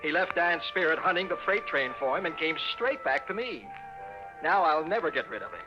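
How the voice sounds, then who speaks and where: A man playing a desperate, haunted young fiancé in an old radio horror-comedy, excitable and exasperated. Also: on the high side, fairly quick, lightly acted. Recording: muffled vintage radio recording, music behind.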